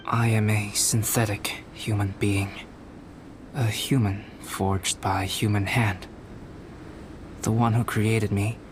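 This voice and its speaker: An actor playing a calm, scholarly young alchemist in a video game, speaking in a measured, formal manner. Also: male voice, fairly low pitch, noisy background, natural voice. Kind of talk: scripted video game dialogue.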